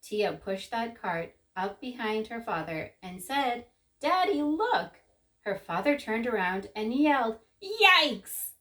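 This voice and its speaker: A woman's voice: mid-range voice, measured pace, few pauses. A woman narrating a children's story expressively, with rhythmic, dramatic emphasis.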